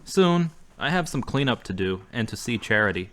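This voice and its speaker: A man's voice: mid-range voice, very animated, moderate pace, fairly bright tone. A man acting a brooding, earnest, troubled character in an audio drama. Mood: subdued.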